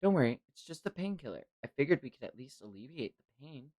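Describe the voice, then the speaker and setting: A man voicing a matter-of-fact medic who explains gravely and in measured phrases in a manga read-along. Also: mid-range voice, very animated, a little mellow, no room sound, clean studio sound, pitched above the performer's own voice. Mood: clinical, apologetic.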